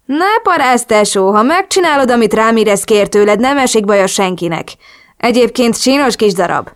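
Casting: mocking, menacing storybook thug played by a woman reader, slangy taunts, pitched up. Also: animated, natural voice. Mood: smug, taunting. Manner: taunting, casual slangy talk. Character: smug menacing male thug, leering and mocking.

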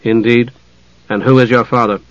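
Man acting a cold, quiet dark elf wizard. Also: mid-range voice, steady tone, no echo, highs cut off, natural voice. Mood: cold, probing. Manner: quiet, measured, sardonic. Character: cold, suspicious male dark elf wizard, softly menacing.

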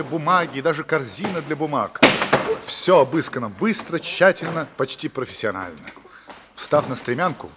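A man reading scripted stage directions as the narrator of a radio play. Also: on the high side. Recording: clearly noisy radio play recording.

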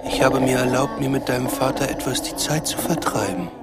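A man's voice: mid-range voice, a little fast, gravelly, over loud music, lightly acted. An actor playing a gloating, sadistic villain in a radio drama, mocking and theatrical as he savours his words. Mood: smug menace. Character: gloating, sadistic male villain toying with captives. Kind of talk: German radio drama acting.

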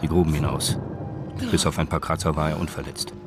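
Very deep-voiced male narrator. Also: little pitch movement, brisk, loud music behind the voice. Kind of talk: scripted narration of a radio crime drama.

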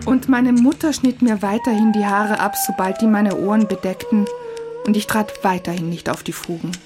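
A woman's voice: medium pitch, fairly even intonation, moderate pace, over music. A woman reading scripted first-person narration in a composed storytelling manner.

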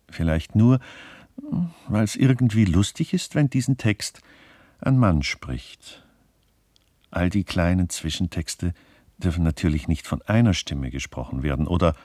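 An actor playing a wry, eccentric playwright dictating stage directions in a deliberate, articulate radio play reading. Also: male voice, very deep voice, darkish tone, no room sound, studio quality. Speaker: speaker reading the author's part.

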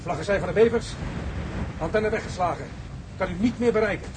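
A man's voice: sits high, brisk, noisy background, natural voice. A man playing a dutiful bosun in a Dutch maritime radio drama, reporting in a clipped, urgent way. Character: eager, dutiful male bosun reporting to his captain.

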